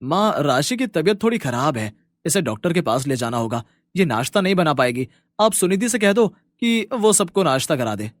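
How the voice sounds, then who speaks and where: A man plays an easygoing young husband from a moral tale. His voice is fairly high and very animated, with a coaxing, scheming manner, recorded close and dry. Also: moderate pace, dry recording. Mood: anxious. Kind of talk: moral story character dialogue.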